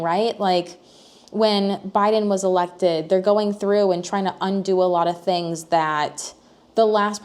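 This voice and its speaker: A woman's voice: medium pitch, darkish tone. American girl vlogging, rambly, lots of likes and um's, goes up at the end of questions. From United States. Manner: rambling, thinking aloud with many fillers and restarts. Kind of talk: casual personal vlog.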